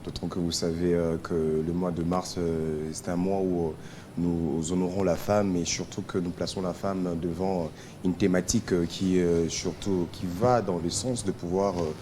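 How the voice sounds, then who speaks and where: A man with a Congolese accent answering as a guest in a TV studio interview, often halting to search for his words. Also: low voice, steady tone, warm tone, slight background noise. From Kisangani, DR Congo. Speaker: charity association representative, TV guest.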